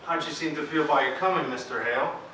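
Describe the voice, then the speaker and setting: Man playing formal attorney, bright, very echoey. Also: a little high, fast. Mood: probing. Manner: formal, prodding, interrogating. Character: businesslike county attorney questioning a witness. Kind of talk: amateur stage play acting. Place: theatre stage, indoors. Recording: echoey stage from far off, faint noise.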